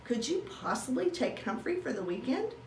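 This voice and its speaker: A woman's voice: high voice, very animated, normal speed, recorded from a distance. A woman voicing a firm, composed schoolteacher in a children's storybook, speaking as if addressing a class. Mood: asking. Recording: roomy echo with faint noise.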